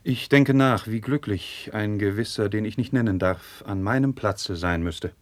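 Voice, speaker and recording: Actor doing an earnest discreet marquis, lowish voice, careful classical stage diction, 1950s radio drama, dry room. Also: male voice, no room sound, natural voice. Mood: courtly, veiled. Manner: courtly, deliberate stage diction. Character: noble Spanish marquis, courtly, discreet, earnest.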